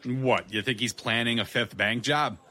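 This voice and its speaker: An actor playing a guarded, sardonic ex-Marine detective in an audio drama, laconic and quick with retorts. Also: male voice, medium pitch, steady tone, bright, forceful tone, natural voice. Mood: defensive.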